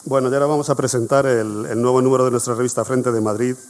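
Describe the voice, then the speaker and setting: A man's voice: medium pitch, steady tone, fast. A man hosting a history conference, speaking off the cuff to the audience with many fillers and repeated words. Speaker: conference coordinator and host. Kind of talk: spontaneous presentation to an audience.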